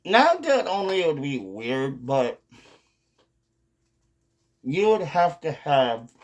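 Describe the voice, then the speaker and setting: A man giving casual, rambling commentary to viewers, with drawn-out phrasing, pauses and some casual swearing. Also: on the high side, sing-song. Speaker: video host, hobby commentator.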